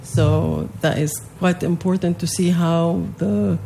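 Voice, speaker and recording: A young adult woman from Palestine, a native Arabic speaker, answering interview questions about a festival she organizes, with many pauses and fillers. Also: fairly low pitch, fairly even intonation, vocal fry, warm tone, slight background noise. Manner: hesitant, many fillers and restarts.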